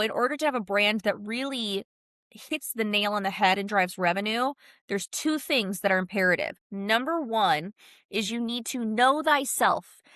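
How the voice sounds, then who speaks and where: A woman's voice: medium pitch, fairly bright tone, no echo, clean studio sound. A woman explaining ideas as an interview guest, speaking conversationally in an emphatic, punchy way with colloquial asides. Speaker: guest, brand consultant and author.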